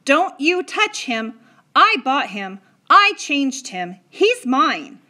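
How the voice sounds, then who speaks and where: A woman doing a shrill yelling boy. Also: sing-song, slow pace, heavily acted. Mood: defiant. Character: excitable young boy.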